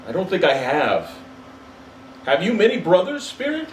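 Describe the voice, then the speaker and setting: Man doing an old miser guy whos been humbled, pleading and earnest, storybook read, highish voice, faint noise. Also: wildly swooping pitch, moderate pace. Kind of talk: storybook character reading. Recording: roomy, distant mic, faint hiss.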